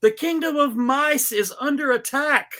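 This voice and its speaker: An earnest, embattled storybook mouse acted out by a reader, pitched up high and bright. It makes urgent, demanding pleas in a comic fan-fiction tale. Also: measured pace.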